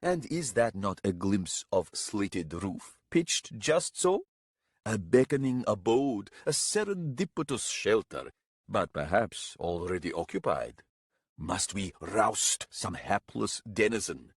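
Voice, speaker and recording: Creaky old fantasy warrior, performed by a male reader: husky, sly, mocking, swinging in pitch. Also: sing-song, takes it slowly, dry recording, studio quality, full character voice. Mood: wry. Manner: sneering, theatrical archaic speech.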